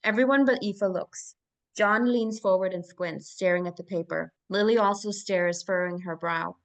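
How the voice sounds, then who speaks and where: A woman's voice: mid-range voice, moderate pace. A woman reading scene directions as narrator at a live screenplay table read.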